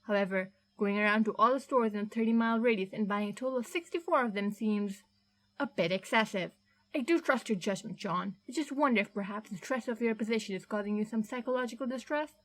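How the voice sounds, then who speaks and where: A woman reading out a boss's email in a formal, measured, politely menacing tone. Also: mid-range voice, fairly bright tone, lightly acted, rough recording. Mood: cool, formal.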